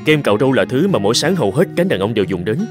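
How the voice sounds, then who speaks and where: A man's voice: mid-range voice, big pitch swings, very fast, over music, natural voice. A man voicing an earnest, persuasive boss of a young company in a cartoon, speaking politely. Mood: explaining. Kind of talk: dubbed cartoon dialogue.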